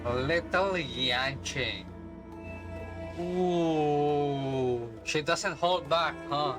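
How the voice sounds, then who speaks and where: A woman's voice: sits low, very slow. A woman streamer giving casual game reaction commentary, with slangy, exclamatory reactions. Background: game music.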